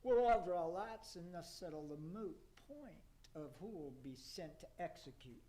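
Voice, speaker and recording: A man's voice: high-pitched, expressive, moderate pace, a loud crowd. A man playing a pompous devil who formally presides over fellow fiends in a rhyming radio play. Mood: pompous, presiding. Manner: pompous, formal, theatrical rhymed delivery. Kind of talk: radio theater acting.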